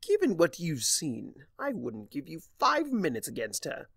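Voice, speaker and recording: A man acts out a mocking, vengeful trickster of chaos for a storybook reading. The creature is pompous and wordy, taunting in long, leisurely phrases. The pitch leaps about and the voice often drops into a gravelly creak. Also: wildly swooping pitch, unhurried, a bit bright, dry recording, clean studio sound, full character voice. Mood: smug explaining. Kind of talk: dramatic fan fiction audiobook reading.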